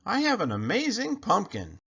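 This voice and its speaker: Man voicing an eager, high-pitched little boy mouse. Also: wildly swooping pitch, normal speed, vocal fry, pushed and bright, slightly rough, highs cut off, full character voice. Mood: proud, excited. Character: young male mouse, proud amateur pumpkin grower.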